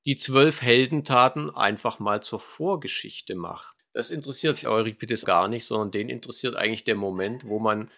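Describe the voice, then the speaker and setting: Low creaky man explaining informally. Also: normal speed, hardly any pauses, pushed and bright. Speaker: dramaturg. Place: home office video call.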